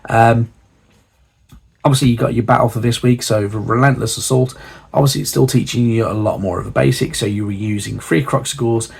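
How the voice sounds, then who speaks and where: Croaky, hoarse man with a cold. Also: mid-range voice, fairly even intonation, brisk, a little mellow. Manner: rambling, many fillers and restarts. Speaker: channel host reviewing a hobby magazine. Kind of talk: casual unscripted walkthrough.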